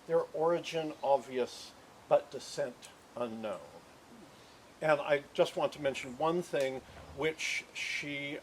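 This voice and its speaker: Loud-voiced man, halting and wry. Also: on the high side, slight background noise, low-quality recording. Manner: halting, many ums, wry. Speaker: memorial speaker. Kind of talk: informal eulogy with a poem.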